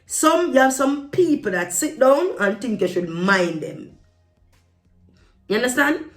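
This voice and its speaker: A woman's voice: sits high, very animated, measured pace, roomy sound, soft background music. A woman giving casual opinion commentary to viewers, speaking emphatically and repeating phrases for stress. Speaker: vlogger.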